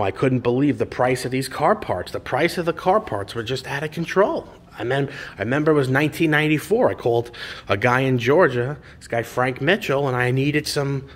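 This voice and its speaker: Chatty New man in a garage. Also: mid-range voice. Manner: chatty, enthusiastic, rambling with restarts. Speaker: classic car parts dealer and restorer. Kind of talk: casual walkaround video narration. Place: large auto repair garage.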